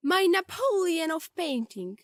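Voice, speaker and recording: A woman's voice: extremely high pitch, big pitch swings, measured pace, no room sound, studio quality, heavily acted. An actress playing an ironic, amused woman who teases in a condescending way, in an audio drama. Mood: ironic amusement. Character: ironic, amused woman mocking a painter friend.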